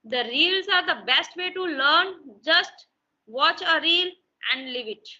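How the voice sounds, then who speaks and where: An Indian-accented woman speaks slowly and hesitantly, filling pauses with "uh" and "like". Her voice is high and very bright. The sound is muffled, like an online call. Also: lively intonation. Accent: Indian English. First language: Hindi. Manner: hesitant, many fillers and restarts. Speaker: interview guest, English learner. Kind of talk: casual conversational English practice.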